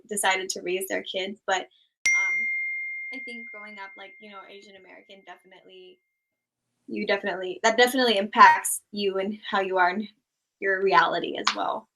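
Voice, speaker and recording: Young woman, raised in Thailand, casual rambly guest, vocal fry throughout. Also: medium pitch, a little fast, poor audio. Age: young adult. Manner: relaxed, rambling, many "like" and "um" fillers. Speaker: guest, small YouTuber. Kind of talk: casual interview chat.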